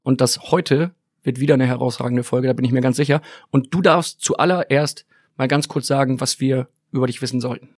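A man hosting a podcast, upbeat and quick, introducing an episode and interviewing a guest. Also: medium pitch, fairly even intonation, slightly rough, dry recording, studio quality. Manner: upbeat, quick-talking host. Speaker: podcast host. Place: small press room in a stadium.

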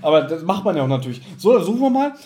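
A man chats as a podcast co-host in a slightly high voice. He is animated and drops into playful mock quotations. The room gives his voice an audible echo. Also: racing. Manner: animated, acts out mock quotes in put-on voices. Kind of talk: casual chat reviewing an audio drama.

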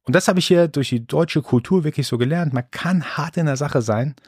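A man's voice: on the high side, a little fast, a little mellow, slightly rough, no echo, studio quality. A man born in Iran, hosting a solo podcast in a casual, rambling monologue with frequent restarts. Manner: chatty, rambling with restarts and fillers. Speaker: podcast host.

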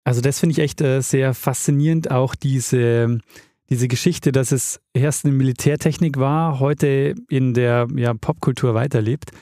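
A man with a soft, mellow tone chats casually as a podcast co-host. He comments reflectively, searching a little for his words. The recording is dry, with hardly any room sound. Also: medium pitch, fairly even intonation, measured pace, no room sound. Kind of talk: casual chat.

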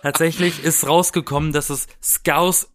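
A man's voice: on the high side, steady tone, a little slow, a bit bright. A man in a casual podcast chat, explaining things and bantering dryly as co-host.

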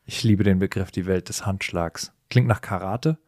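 A man co-hosting a casual, humorous podcast conversation, explaining things in an animated way and often chuckling as he talks. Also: low-pitched, normal speed, dry recording, studio quality. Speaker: podcast co-host.

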